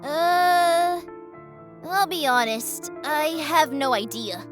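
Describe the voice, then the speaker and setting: A woman's voice: high-pitched, animated, slow, soft background music. A woman voicing a small, naive, cheerful male candle creature in an audio drama, childlike and innocent. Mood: sheepish, uneasy.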